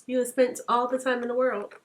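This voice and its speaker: A woman's voice: a little high, level tone, fairly quick, slight background noise. A congested woman with a stuffy nose, chatting casually through a makeup tutorial and laughing often. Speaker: beauty vlogger.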